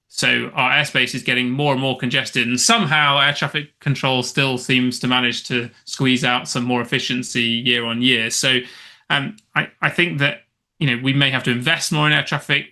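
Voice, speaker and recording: Male expert interviewee, very sharp bright tone, gravelly edges, halting unscripted explanations. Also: medium pitch. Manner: halting, restarts phrases often.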